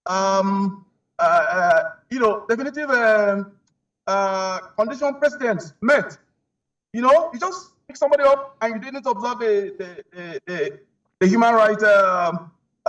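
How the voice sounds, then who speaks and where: A man with a Nigerian accent giving his views as an interview guest, halting and repeating himself as he searches for words. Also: high voice, fairly bright tone, distant mic, low-quality recording. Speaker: human rights advocate, interview guest. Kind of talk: spoken opinion in a news interview.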